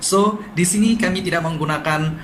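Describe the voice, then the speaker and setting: A man from Sabah with a Sabah Malay accent, explaining language differences in a quick, lively vlog style and mixing in English words. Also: high-pitched. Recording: echoey room with faint noise.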